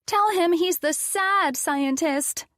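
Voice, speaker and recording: A woman's voice: slow, fairly bright tone, no echo, studio quality, exaggerated voice. A woman voicing a playful little girl who squeals and gives orders. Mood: giggly bossy. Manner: high, squealing, bossy.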